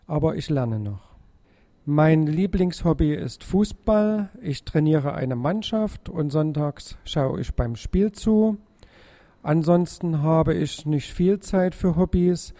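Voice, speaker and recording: Guy coaching a football team, slow hesitant read, warm mellow tone. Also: on the high side. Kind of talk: scripted monologue for a listening exercise.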